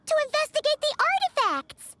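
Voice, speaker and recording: An extremely squeaky, childlike fairy companion from a video game. She is nosy, blunt and excitable, swinging up and down with every exclamation. Music plays clearly behind her. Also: fairly quick, exaggerated voice. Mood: excited. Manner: childish, excitable, exclamatory, third-person self-reference. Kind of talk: English video game voice acting.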